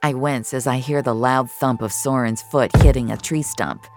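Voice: medium pitch, fairly even intonation, moderate pace, faint music behind, lightly acted. A young adult actor playing a lonely, streetwise young gamer who narrates an audio drama in a wry, confessional, profane way. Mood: tense, observant. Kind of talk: first-person scripted audio drama narration.

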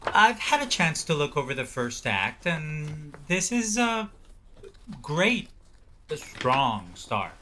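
Pompous, bright-voiced man. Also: fairly high pitch, big pitch swings, a little hiss or hum, full character voice. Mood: guarded praise. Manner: pompous, measured emphasis. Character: self-important, name-dropping male musical theater writer. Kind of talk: audio comedy drama acting.

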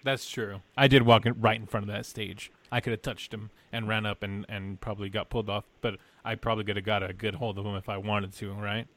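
A man's voice: fairly low pitch, flat delivery, brisk, hardly any pauses, a bit bright. A man co-hosting a podcast, talking in a rambling, laid-back, jokey way. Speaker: podcast co-host. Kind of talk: casual podcast chat.

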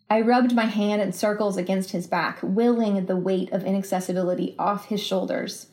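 A woman's voice: mid-range voice, dark tone. A woman in her thirties with an American accent, a writer speaking thoughtfully as a guest about personal experience. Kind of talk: reading a personal essay aloud, then thoughtful interview answers.

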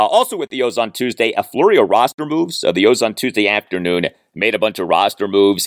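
A man's voice: low-pitched, dry recording, studio quality. A man hosting a sports podcast, delivering solo commentary in an emphatic way, repeating phrases for stress.

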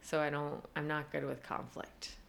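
A woman's voice: low voice, flat delivery, normal speed, vocal fry, a little hiss or hum. A young woman being interviewed as a guest, chatty and casual, with plenty of fillers and frequent laughs. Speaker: costume designer, guest.

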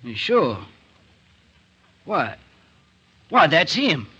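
A man's voice: fairly high pitch, sing-song, very slow, sharp tone, husky, heavily acted. An actor in a 1940s radio drama playing a plainspoken, uneasy farmer father, with a folksy, hesitant way of talking. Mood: surprised, earnest. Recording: dull 1940s radio broadcast recording.

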